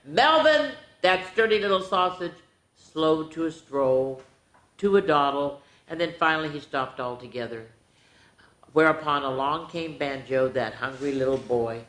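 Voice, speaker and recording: A woman hosting a children's story time, reading a storybook aloud in an animated, playful way with dramatic asides to the young listeners. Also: low voice, measured pace. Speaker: children's storyteller, show host. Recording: roomy, echoing indoor sound.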